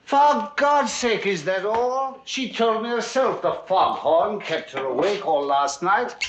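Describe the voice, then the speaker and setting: A man's voice: high-pitched, expressive, extremely slow, a bit bright, exaggerated voice. An actor playing a proud, irritable aging father in a theatrical, blustery stage manner. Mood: impatient, indignant. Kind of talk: stage play acting. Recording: dull, echoey, faintly noisy play recording.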